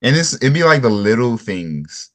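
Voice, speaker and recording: A man's voice: mid-range voice, big pitch swings, moderate pace. A man learning audio mixing, chatting casually on a video call in a rambling, easygoing way. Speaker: student.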